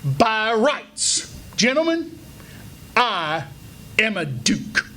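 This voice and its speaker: A man playing a weepy, pompous con man who poses as a wronged duke, melodramatic and halting in live radio theatre. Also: high-pitched, sing-song, pushed and bright, slight background noise, full character voice. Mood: solemn, grandiose. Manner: melodramatic, halting, sobbing and chuckling. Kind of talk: live old-time radio theatre acting. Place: live stage before an audience. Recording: live stage radio play, music behind.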